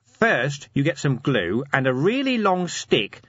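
A man's voice: a little high, big pitch swings, a little slow, fairly bright tone, dry recording, lo-fi sound, voice pushed up, heavily acted. A man voicing a scheming, cheeky city watchman in an audiobook story, rambling eagerly in colloquial speech.

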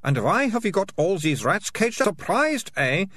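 A woman's voice: mid-range voice, very animated, moderate pace, a bit bright, slightly rough, dry recording, clean studio sound, pitched above the performer's own voice. A man reading aloud, voicing a bossy, theatrical teenage girl who speaks in an accusing tone.

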